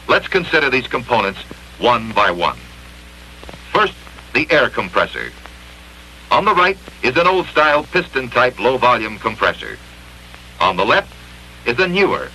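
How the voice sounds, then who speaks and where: A man narrating a 1960s industrial training film in an emphatic, clearly enunciated instructional manner, from an old film soundtrack. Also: mid-range voice, bright, forceful tone, a little hiss or hum, rough recording.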